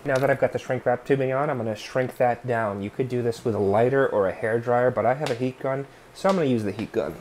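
A man's voice: medium pitch, fast, few pauses, noisy background. A man in his thirties talking casually through a hands-on repair tutorial at home, thinking aloud with fillers and little asides. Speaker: DIY video host. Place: indoor living room, seated at a workbench board.

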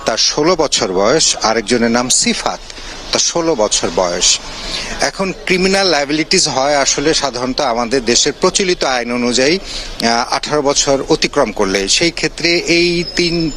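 A man's voice: medium pitch, lively intonation, normal speed, fairly bright tone. A man with a Bangladeshi accent giving a live, unscripted field report in a wordy, explanatory way, mixing in English terms. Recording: noisy live location audio.

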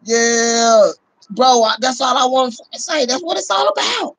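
A man's voice: very high-pitched, expressive, measured pace, a bit bright, dry recording, rough recording. A middle-aged man chatting casually on a sports livestream, slangy and sweary, leaning on repeated catchphrases. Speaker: livestream host and Cowboys fan. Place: small room indoors. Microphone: phone at close range.

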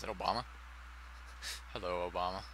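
A man's voice: sits low, fairly even intonation, fairly quick, noisy background. A man giving casual, sweary and self-deprecating commentary as he plays a video game. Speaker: let's player.

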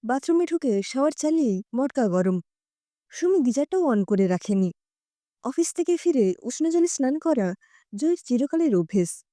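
A woman narrating a scripted audio story in an expressive storytelling style. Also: high-pitched, normal speed, dry recording, clean studio sound.

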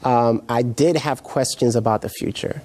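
A middle-aged man from Jamaica, raised in New York, giving his personal testimony as a guest pastor in a halting, reflective way. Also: mid-range voice, a little mellow. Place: indoor TV studio set, seated.

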